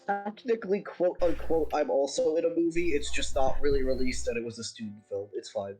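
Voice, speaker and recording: A man's voice: mid-range voice, a little fast, mellow, faint music behind, low-quality recording. Congested young man, blunt and swearing. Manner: blunt, swearing, laughing often. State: congested from a sinus infection. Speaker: streamer's guest. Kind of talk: casual chat.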